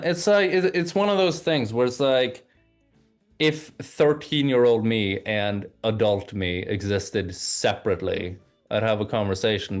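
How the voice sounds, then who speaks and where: A man from Oslo who grew up bilingual in English and Norwegian, chatting informally as an interview guest and often repeating words as he thinks. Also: lowish voice. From Oslo, Norway. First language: bilingual English and Norwegian from childhood. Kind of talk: casual conversational chat.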